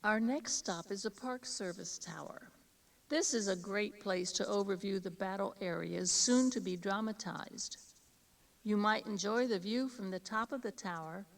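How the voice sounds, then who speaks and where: An American woman reading scripted tour directions in a measured, formal, instructional manner. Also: mid-range voice, creaky. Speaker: audio tour guide giving directions. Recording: distant-sounding 1983 cassette tape.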